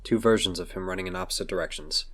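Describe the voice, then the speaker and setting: A man's voice: low voice, fast, a bit bright. A man narrating scripted action scenes for a superhero audio drama.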